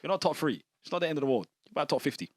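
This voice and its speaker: A man's voice: a little high, sing-song, racing, no room sound, clean studio sound. A man co-hosting a casual podcast, joking and bantering in slang.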